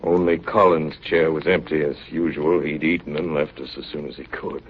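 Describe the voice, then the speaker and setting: An actor playing a steady, grave ship's officer telling of an Arctic voyage in 1930s radio drama style. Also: male voice, very deep voice, normal speed. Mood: grave, weary. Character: ship's chief narrating his Arctic diary, steady and grave. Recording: muffled, noisy 1930s radio recording.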